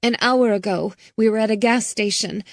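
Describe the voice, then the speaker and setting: Lady doing a scared crying girl, high shaky voice, dry close sound. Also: normal speed, vocal fry, dry recording, natural voice. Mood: terrified. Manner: tearful, panicky, breaking voice. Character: frightened young girl, kidnapped and crying.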